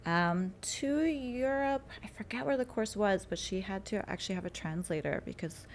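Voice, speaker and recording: A woman from Canada, a naturopathic doctor, explaining her work steadily as an interview guest, with "um" pauses. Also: mid-range voice, a little hiss or hum. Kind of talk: casual interview chat.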